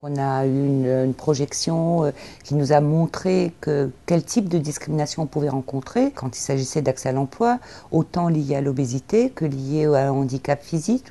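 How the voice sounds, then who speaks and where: A woman's voice: low voice, lively intonation, moderate pace, mellow. A woman giving an articulate, explanatory spoken recap in an interview, as a representative of a youth employment agency.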